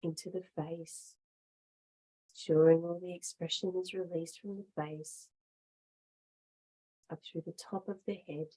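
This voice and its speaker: A woman teaching yoga, guiding relaxation and meditation in short, measured phrases with long pauses. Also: lowish voice, steady tone, dark tone, husky, rough recording. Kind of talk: guided relaxation and meditation instruction.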